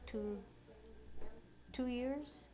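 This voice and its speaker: A woman of thirty-nine answering casual interview questions in a plain, halting way, keeping her answers brief. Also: sits high, noisy background. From Oneida. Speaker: interviewee, basket maker.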